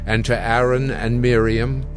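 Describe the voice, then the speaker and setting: A man with a middling pitch reads scripture as narrator. His delivery is measured and solemn, with music clearly behind him. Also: little pitch movement. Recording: music bed under the voice.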